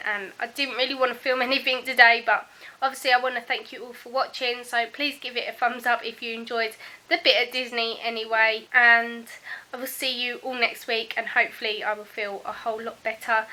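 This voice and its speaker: A woman, hoarse and croaky from a sore throat, chatting casually to her camera at home with plenty of ums. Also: a little high, bright, forceful tone. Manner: chatty, many ums, unhurried. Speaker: family vlogger. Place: at home, indoors.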